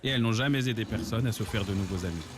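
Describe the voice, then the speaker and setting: A man narrating a cartoon in a scripted, gently ironic storytelling manner. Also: fairly low pitch, racing. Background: music.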